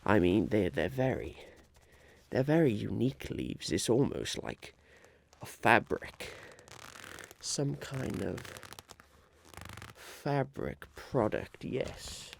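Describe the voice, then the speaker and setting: Eccentric scheming businessman, acted by a man, ASMR roleplay: low and creaky, halting and musing, faint noise behind. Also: very animated, heavily acted. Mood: musing, pitching. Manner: slow, halting, musing, repeats phrases.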